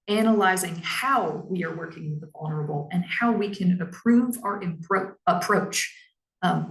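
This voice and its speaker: A woman who heads a public library, giving a measured, explanatory presentation on staff training in her naturally lower voice. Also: creaky, echoey room, rough recording. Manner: measured and explanatory, with frequent "um" and "now".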